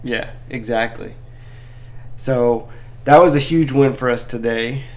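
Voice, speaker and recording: A man's voice: medium pitch, slow pace. A man hosting a casual live chat, talking in an informal, encouraging and slangy way as he helps listeners out. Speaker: live Q&A host, crypto mining helper.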